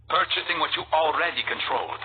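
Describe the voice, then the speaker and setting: A man's voice: a little high, fast talker, lightly acted. A man voicing a stern, self-assured medieval assassin in a video game, speaking in a terse, solemn way. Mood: cool, confident. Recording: echoing, tinny band-limited game audio, faint noise.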